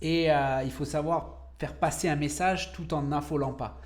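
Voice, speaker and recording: A man's voice: fairly high pitch, steady tone, moderate pace. A young adult man from France, a cancer researcher speaking as a podcast guest, who slips into English words and searches for French ones. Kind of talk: podcast interview.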